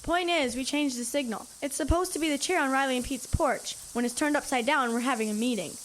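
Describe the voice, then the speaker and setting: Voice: sits high, brisk, a bit bright, slight background noise, poor audio. A young performer playing a cocky, teasing boy in an audio drama. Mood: argumentative. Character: Nickerson, smug prankster boy.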